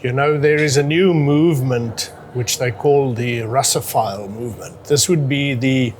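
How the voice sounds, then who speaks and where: A man with an Afrikaans accent, a native Afrikaans speaker from South Africa, giving measured answers as an interview guest, pausing and clearing his throat. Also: mid-range voice, darkish tone, slight background noise. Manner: deliberate, clears throat, pauses with uh.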